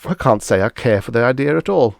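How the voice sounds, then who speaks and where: A man's voice: medium pitch, expressive, fairly quick, creaky, no echo, studio quality, voice pushed up. A man voicing a cocky, defiant human prisoner, flippant and mock-innocent, in a pulp science fiction audiobook. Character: cocky, defiant male human prisoner taunting his alien captors.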